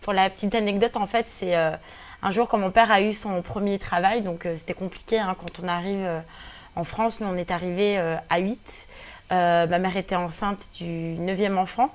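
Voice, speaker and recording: Young woman from algeria, first language berber, talks earnest but keeps pausing with fillers, mid pitch. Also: fairly even intonation. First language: Berber (Kabyle). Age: 20s. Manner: hesitant, many fillers, earnest. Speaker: guest activist. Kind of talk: interview answers.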